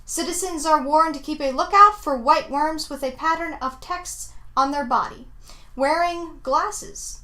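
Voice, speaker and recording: A woman's voice: high-pitched, a little slow, pushed and bright. A woman co-hosting a casual show, laughing easily and filling gaps with um and uh. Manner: laughs easily, filler-heavy. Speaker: co-host. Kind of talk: casual chat and mock news reading.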